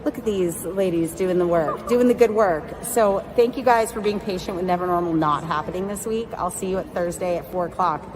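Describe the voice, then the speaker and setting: A woman's voice: fairly low pitch, a little fast, hardly any pauses, background noise. A woman comedian giving a jokey, upbeat update from a vaccination clinic, recorded on a phone. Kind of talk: casual selfie video update.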